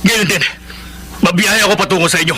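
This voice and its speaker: A man playing a hot-headed, protective older brother with forceful, vengeful delivery in a Filipino radio drama. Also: sits high, fairly even intonation, fast talker, slight background noise. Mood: furious, vengeful.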